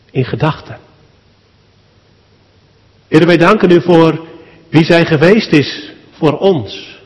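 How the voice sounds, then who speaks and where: Slow, solemn man praying in a reverberant church. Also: fairly high pitch. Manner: solemn, measured, in short phrases with deliberate pauses. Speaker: pastor leading a funeral service. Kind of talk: spoken public prayer at a church thanksgiving service. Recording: long church hall reverb.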